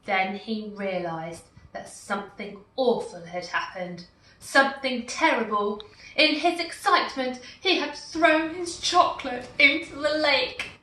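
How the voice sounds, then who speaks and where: A woman reading a picture book aloud in a slow, expressive storytelling manner. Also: on the high side. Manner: slow, expressive, warmly animated. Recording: echoey room, far from mic.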